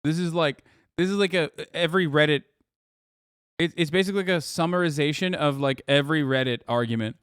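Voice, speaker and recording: A man's voice: fairly high pitch, fairly quick, a bit bright, clean tone, no room sound, studio quality. Profane, sarcastic man ranting on stream. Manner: profane, sarcastic, heated rants. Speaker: streamer host. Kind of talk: live stream reaction commentary.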